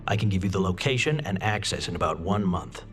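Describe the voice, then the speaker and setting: An actor playing an arrogant, shady senator in a video game, haughty and measured with a scornful temper. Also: male voice, low voice, expressive. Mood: cornered, guarded. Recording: loud music over the voice.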